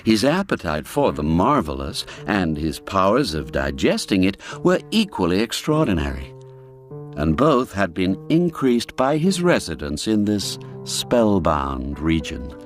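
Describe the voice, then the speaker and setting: A man narrating a classic literary tale in a measured, expressive storyteller's manner. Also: low-pitched, faint music behind. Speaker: narrator and audiobook reader.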